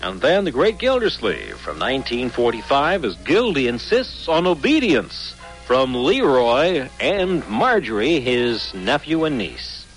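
A man's voice: medium pitch, sing-song, unhurried, low-quality recording. A man hosting a radio programme, reading a scripted introduction. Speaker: radio host. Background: music.

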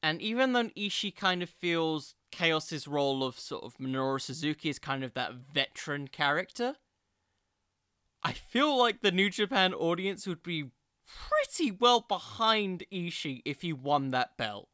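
A podcast host with a bright, forward voice of medium pitch delivers casual solo commentary, recorded close and dry. The delivery is informal and self-deprecating, like someone chatting directly to listeners. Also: big pitch swings, a little slow, smooth, no echo.

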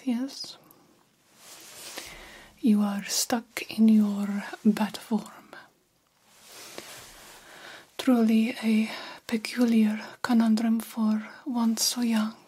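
A woman voicing a very old, self-assured vampire doctor in a soft, formal, reassuring ASMR whisper. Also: a little high, level tone, extremely slow, slightly rough, full character voice. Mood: soothing, coaxing. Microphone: close microphone.

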